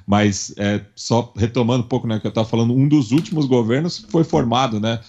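A man with a São Paulo Brazilian accent giving conversational commentary as a podcast panelist, talking in a halting, discursive way with many tag words. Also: medium pitch, a little mellow. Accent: São Paulo Brazilian Portuguese. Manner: halting, discursive, frequent "né" tags. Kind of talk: conversational historical and political commentary. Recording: dull, muffled lo-fi audio.

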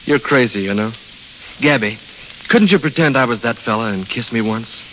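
An actor playing a pushy young American ex-football player, brash and lovesick, in an old radio drama style. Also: male voice, medium pitch, animated, moderate pace, lightly acted. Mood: insistent, wheedling. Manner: brash, insistent. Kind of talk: 1930s-40s radio drama acting. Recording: muffled, hissy vintage radio recording.

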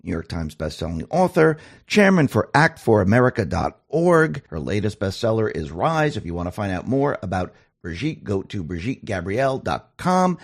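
Low-voiced male host, dry sound. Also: gravelly, no echo. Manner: upbeat ad-read delivery; halting questions with restarts. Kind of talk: interview and read ads.

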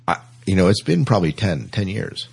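A man's voice: low-pitched, big pitch swings, fairly quick, creaky, a little mellow, slightly rough. An American man hosting a casual entertainment news podcast in an informal, conversational way. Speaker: podcast host.

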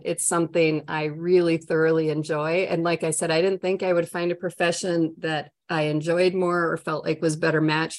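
A middle-aged woman with a Minnesotan accent talks conversationally as an interview guest, candid and chatty with rambling asides. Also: low voice. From Minnesota, United States. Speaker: trainer and coach for families, interview guest.

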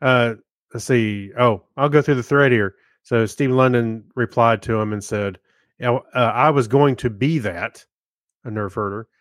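Guy cohosting a podcast, mid pitched voice, casual banter, very dry recording. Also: unhurried, dry recording. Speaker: podcast co-host. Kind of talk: casual banter, reading listener comments.

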